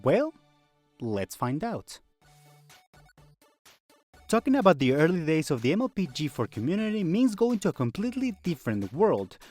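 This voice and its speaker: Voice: medium pitch, darkish tone. A video essayist narrating a scripted fandom video in a conversational way, with rhetorical questions and wry asides.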